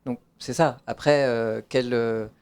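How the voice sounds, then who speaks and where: A man's voice: medium pitch, steady tone, measured pace, darkish tone, smooth. A man, the author of the book, answering audience questions in a hesitant way with many fillers and restarts. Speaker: author presenting his book.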